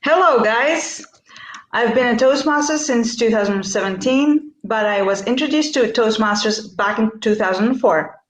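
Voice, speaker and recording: A woman's voice: a little high, expressive, normal speed, echoey room, rough recording. A woman from Greece, a workshop speaker answering questions on a video call, with frequent fillers and restarts. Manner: hesitant, many fillers and restarts. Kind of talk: online interview.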